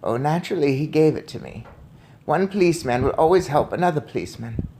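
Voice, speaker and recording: A man voicing a loving, proud and crafty old Norwegian grandmother in a storybook, with measured, emphatic delivery. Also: a little high, animated, mellow, a little hiss or hum. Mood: proud, sly.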